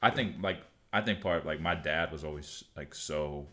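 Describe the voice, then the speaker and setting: A man who coaches shooting, talking casually on a podcast in a halting, laid-back way full of restarts and fillers. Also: rumbling low, pushed and bright.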